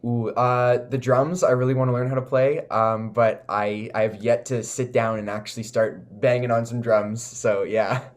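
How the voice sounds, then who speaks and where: A man with a Canadian accent giving casual, enthusiastic interview answers, often pausing with "like" and "you know". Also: medium pitch, level tone. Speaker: recording artist, interview guest.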